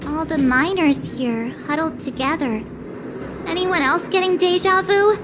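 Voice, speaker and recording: Very high-pitched bubbly teenage girl. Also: unhurried, over music. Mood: curious, playful. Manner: bubbly, playful. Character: curious, cheerful, excitable teenage girl. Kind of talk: video game voice acting.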